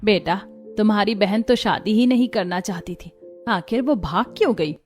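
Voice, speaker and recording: A woman voicing a kindly middle-aged mother-in-law in a Hindi cartoon story, speaking in a soft, coaxing way. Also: mid-range voice, expressive, normal speed, faint music behind, close to an everyday voice. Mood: puzzled, concerned.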